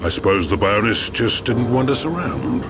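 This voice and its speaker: A man playing a very old, grave machine-being elder in a game cutscene, with a measured, theatrical delivery. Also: fairly low pitch, sing-song, background noise. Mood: reflective. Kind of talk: dramatic video game cutscene acting. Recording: voice under clear background music.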